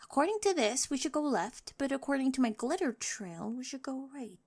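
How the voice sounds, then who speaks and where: A woman's voice: sits high, lively intonation, brisk, a little mellow. A woman playing an awkward, eager, nerdy teenage girl with a crush in an ASMR roleplay, chatty and rambling, often dropping toward a whisper. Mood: puzzled, playful.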